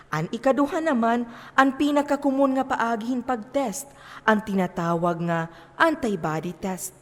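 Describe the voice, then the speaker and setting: A woman's voice: a little high, normal speed, close to an everyday voice. A woman with an Eastern Visayan Filipino accent acting a preachy, commanding moral adviser in an amateur stage play, emphatic and lecturing. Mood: instructive. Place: theatre stage in a hall. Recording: long echo of a hall.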